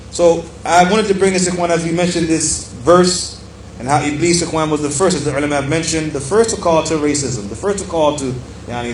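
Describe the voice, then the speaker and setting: A man with a slightly high voice delivers an Islamic lesson in a reverberant room, with faint noise behind him. He teaches emphatically, restating key phrases and turning often to address his listeners as he explains a text. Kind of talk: religious lecture, reading aloud from a book and explaining it.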